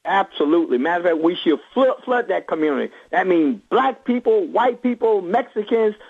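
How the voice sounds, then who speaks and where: A man's voice: high voice, expressive, normal speed, gravelly, slightly rough. A man speaking as an activist reverend and radio call-in guest, impassioned and emphatic, repeating phrases for force over a phone line. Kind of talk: impassioned political interview talk.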